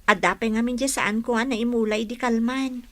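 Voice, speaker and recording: A woman's voice: on the high side, steady tone, moderate pace, fairly bright tone, lightly acted. A woman playing a dutiful, reassuring young village daughter in a radio drama, earnest and caring. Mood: caring, determined.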